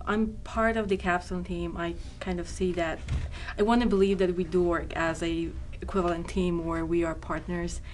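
A young woman from Poland talks in a low, flat voice. She speaks softly and hesitantly, with fillers, in an indoor interview. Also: normal speed, few pauses, slight background noise. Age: young adult. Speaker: engineering student. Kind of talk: documentary interview. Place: indoor room.

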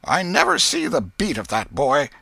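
An exasperated old country aunt from a storybook, acted out by a man, her pitch lifted high and swinging freely. She speaks in a folksy rural Southern American drawl, scolding and fretting with a soft heart underneath. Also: huge pitch swings, moderate pace, creaky, breathy edge, dry recording, heavily acted. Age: older. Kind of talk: audiobook character voice.

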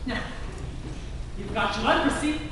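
A woman's voice: a little high, a little slow, lightly acted. A woman on stage playing a prim, scandalised, pious small-town gossip, recorded from far off in an echoey, noisy hall. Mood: disapproving. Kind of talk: stage play acting.

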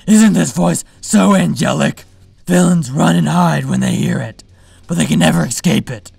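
A man playing a brooding, boastful masked superhero in a parody vlog, with a raspy growl and a deadpan, self-important delivery. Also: on the high side, moderate pace, no echo, soft background music, heavily acted. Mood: smug, brooding. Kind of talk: parody vlog monologue to camera.

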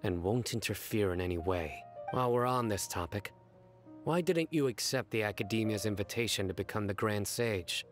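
A man's voice: fairly low pitch, normal speed, soft background music, natural voice. A man playing a serious, reserved young general in a video game, with a restrained and formal manner. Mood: stern. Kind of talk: video game drama dialogue.

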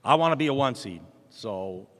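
A man's voice: mid-range voice, lively intonation, normal speed, fairly bright tone. A middle-aged male coach speaks into a table mic at a postgame press conference. His talk is plainspoken and upbeat, full of "uh" and restarts. The room adds a long, ringing reverb. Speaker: basketball head coach. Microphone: table mic in front.

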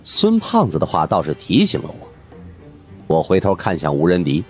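A man narrating a supernatural mystery audiobook in the first person, in an expressive storytelling style. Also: deep voice, moderate pace. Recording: music under the voice.

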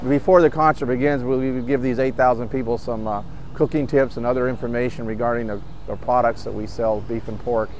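A man's voice: mid-range voice, brisk, slight background noise. Man explaining steadily in an interview. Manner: steady explanatory tone with small repetitions. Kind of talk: on-camera interview.

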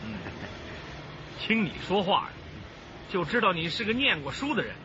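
Boastful, folksy foreman, lively and highish. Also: moderate pace. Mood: confiding, opinionated. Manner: expansive, folksy, self-assured. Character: boastful, hearty migrant building foreman, doting father. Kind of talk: radio drama acting. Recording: dull, noisy radio drama soundtrack.

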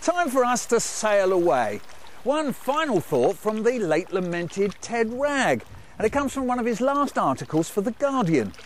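Male host, higher-pitched and expressive, for a book review programme. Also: unhurried. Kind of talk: book programme presenting and panel questioning.

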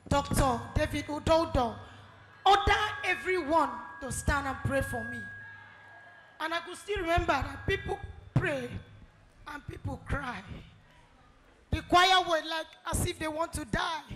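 A woman's voice: high voice, noisy background. A middle-aged woman giving a fervent testimony on a handheld mic in a large church hall. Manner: fervent, ends in sung praise. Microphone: handheld microphone.

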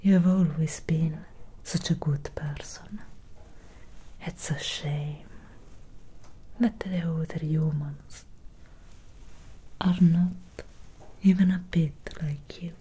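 A young woman playing a gentle, melancholy Victorian vampire doctor in a breathy, soothing ASMR whisper, close to the microphone. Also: deep voice, exaggerated voice. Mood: gentle, reassuring. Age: young adult. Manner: slow, soothing, hushed. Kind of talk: soft-spoken ASMR medical roleplay.

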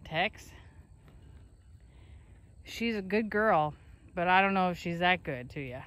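A woman's voice: fairly low pitch, lively intonation, slow pace, fairly bright tone. A woman filming on a phone in an open pasture, chatting to her farm animals and scolding them fondly in a casual way. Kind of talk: casual off-camera narration. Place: outdoor pasture.

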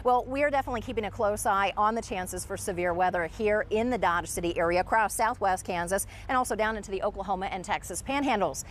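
A woman's voice: mid-range voice, quick pace, hardly any pauses, a little hiss or hum. A middle-aged woman doing live weather reporting as a TV meteorologist, conversational and explanatory, on a clip-on mic outdoors. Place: outdoors on a sunny street and parking lot. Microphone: clip-on lapel mic.

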